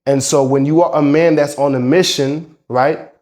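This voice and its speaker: A man's voice: a little high, fairly even intonation, moderate pace, darkish tone. A man with a congested voice from a cold, coaching men in an emphatic, preachy talk that swears freely. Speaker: dating coach. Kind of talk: motivational talk to camera.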